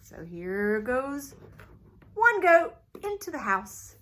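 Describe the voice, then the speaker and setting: High voiced lady doing kids storytime, super slow and bouncy, gets them flapping along. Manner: animated, playful, invites kids to join in. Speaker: children's librarian storyteller. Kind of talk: storytime read-aloud for kids.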